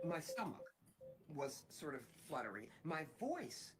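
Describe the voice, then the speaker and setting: A woman recruiter with a fairly strong voice, telling a personal story in a reflective, halting way. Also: deep voice, very animated, noisy background. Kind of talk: personal anecdote in a training video.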